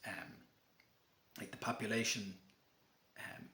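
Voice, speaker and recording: A young Irish man talks about poetry in a relaxed, halting way, full of ums and restarts. His voice sits fairly low. The room gives it a noticeable echo. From Ireland. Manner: relaxed, many fillers and restarts. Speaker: book channel host. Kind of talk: casual talk and poetry reading.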